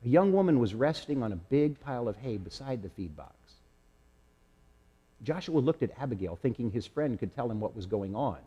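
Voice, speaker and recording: Husky, mellow man reading in a reverberant hall. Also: mid-range voice, sing-song, normal speed, highs cut off. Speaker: storyteller reading aloud. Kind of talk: slow dramatic children's storybook reading. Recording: echoey hall with long reverb.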